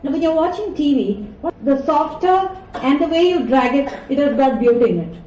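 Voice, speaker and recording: A woman with an Indian English accent teaches a class in an animated way and keeps asking the students questions. Her voice is high but mellow. She is heard from some distance in an echoey lecture hall, with a faint room hum behind her. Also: normal speed. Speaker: communication skills trainer.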